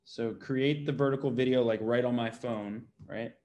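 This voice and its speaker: A man's voice: medium pitch, steady tone, moderate pace, warm tone. A man in his thirties chatting informally on a video call, asking questions as he seeks advice. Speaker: business owner seeking advice. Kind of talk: casual chat on a one-on-one call. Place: bright indoor room at home, video call.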